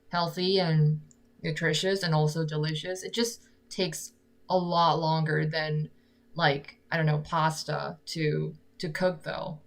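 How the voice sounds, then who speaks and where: Low-voiced young woman, rambling chat. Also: vocal fry. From South Korea. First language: Korean. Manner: rambling, fills nearly every phrase with "like". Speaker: singer-songwriter streamer. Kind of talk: casual live-stream chat with viewers.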